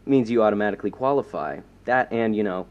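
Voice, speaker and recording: A man playing an earnest young self-styled superhero in an audio drama, talking informally and haltingly. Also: fairly low pitch, lightly acted. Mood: awkward, tender. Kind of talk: naturalistic audio drama dialogue. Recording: slightly dull, muffled recording.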